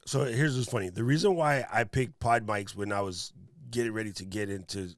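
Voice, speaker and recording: A man with a deep radio voice, co-hosting a casual tech talk and making his points in an emphatic, punchy way. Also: fairly quick, no echo, clean studio sound. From Hawaii resident. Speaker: streaming show co-host.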